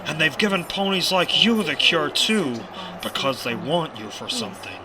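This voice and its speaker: A man's voice: a little high, big pitch swings, moderate pace, over music. A man acting in an audio drama as a bitter, menacing pony rebel leader, theatrical and taunting. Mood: menacing, persuasive.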